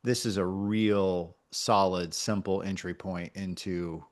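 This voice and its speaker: A man coaching in conversation, encouraging and thoughtful, often pausing mid-sentence as he gives feedback. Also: low voice, fairly even intonation. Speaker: radio coach.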